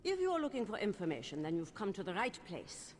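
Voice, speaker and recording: An actress voicing a shady, vain, self-assured underworld information broker in a video game, with a smooth-talking manner. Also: female voice, medium pitch, huge pitch swings, fast talker, long reverb tail, a little hiss or hum. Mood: sly, self-assured. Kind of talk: video game character dialogue.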